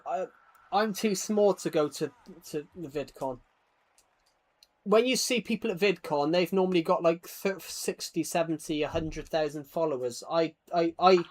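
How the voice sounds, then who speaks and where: A man chatting casually on a gaming livestream, rambling and self-deprecating, often stammering and repeating his words. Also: on the high side. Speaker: live streamer. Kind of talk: casual livestream chat while gaming, reading out viewer comments.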